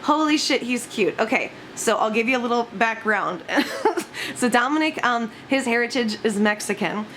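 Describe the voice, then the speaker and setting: A woman's voice: a little high, animated, moderate pace, fairly bright tone. A young woman vlogging from home, her voice scratchy from just waking up, rambling casually with lots of giggles. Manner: giggly, rambling, frequent laughs and swearing. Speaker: YouTuber. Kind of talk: casual vlog chat.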